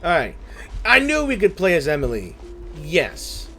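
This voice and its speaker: A man's voice: a little high, huge pitch swings, a little slow, sharp tone, soft background music. A man giving casual, reactive let's play commentary, often muttering to himself as he plays. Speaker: gaming streamer.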